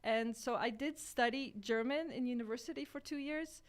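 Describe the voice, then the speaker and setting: A woman from Poland whose first language is Polish, co-hosting a casual radio show. Her voice is smooth and resonant and pitched a little high. She explains things earnestly, with some hesitations. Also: moderate pace. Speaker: radio podcast co-host. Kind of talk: casual chat.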